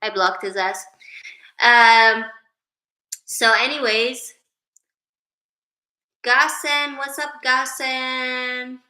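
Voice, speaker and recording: Woman livestream host, higher voice with a bright edge, unhurried and chatty. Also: fairly even intonation, reverberant, poor audio. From Sweden. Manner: chatty, reads viewer names aloud, drifts into spiritual musing. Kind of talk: casual livestream chat reading comments.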